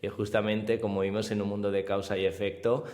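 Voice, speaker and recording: Man, Spanish Mallorca accent, casual interviewer, deep-ish, reverberant. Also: level tone, darkish tone. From Mallorca, Spain. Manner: casual, drawn-out, uses mild swearing. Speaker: podcast host. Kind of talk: conversational interview.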